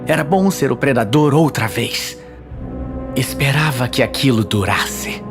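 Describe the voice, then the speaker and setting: A man's voice: medium pitch, unhurried. A man with a Brazilian accent reading scripted fantasy narration in a dramatic storytelling style. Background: faint music.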